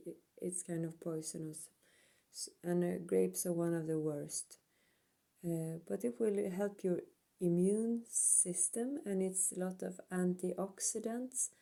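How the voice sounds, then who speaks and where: A woman with a Swedish accent doing a casual tarot reading, hesitant and full of pauses, speaking English as a second language. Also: fairly low pitch, vocal fry, mellow. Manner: hesitant, many ums and pauses. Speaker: tarot reader. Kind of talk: slow casual card reading.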